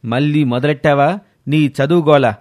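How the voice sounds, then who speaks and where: A man voicing a stern, scornful middle-aged father in a moral story, gruff and dismissive. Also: a little high, normal speed, a little mellow, close to an everyday voice.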